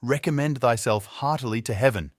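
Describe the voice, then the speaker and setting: A man's voice: mid-range voice, brisk, dry recording, clean studio sound, lightly acted. A man voicing a fearsome, merciless husband in a fairy tale, with imperious, archaic and formal speech. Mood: roaring, threatening. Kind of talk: storybook character voice in narrated fairy tale.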